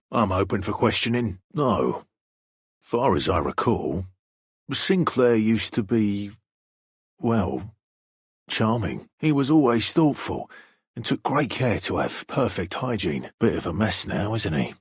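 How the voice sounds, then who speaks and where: An actor playing a suave, blunt video game character with a husky, breathy voice and dry, drawling delivery. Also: male voice, fairly low pitch, big pitch swings, a little slow, no room sound, exaggerated voice. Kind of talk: acted video game dialogue.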